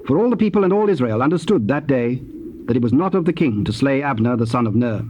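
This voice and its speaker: A man narrating scripture from a script in a measured, formal storytelling manner. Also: mid-range voice, animated, mellow. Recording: noisy old cassette tape transfer.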